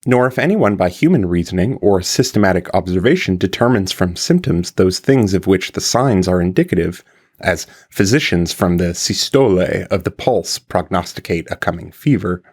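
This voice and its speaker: Male narrator for classical philosophy audiobook: lowish register, highly varied intonation, formal and deliberate phrasing. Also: animated, darkish tone, husky. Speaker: reader of a philosophical text. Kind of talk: scripted audiobook-style reading of an archaic philosophical translation.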